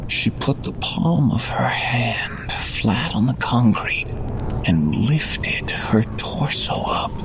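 A man playing a frightened, guilt-ridden shop worker, telling his horror story in an intense, halting, gravelly delivery. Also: lowish voice, noisy background, heavily acted. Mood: horrified, slow. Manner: intense, halting, breaking into anguished shouts. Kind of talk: dramatic first-person horror narration. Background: music.

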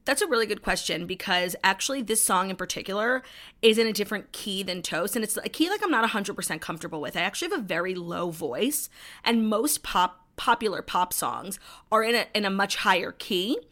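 A woman's voice: mid-range voice, expressive, a little fast, fairly bright tone. A woman co-hosting a podcast in casual chat, blunt and teasing, swearing freely. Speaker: podcast co-host.

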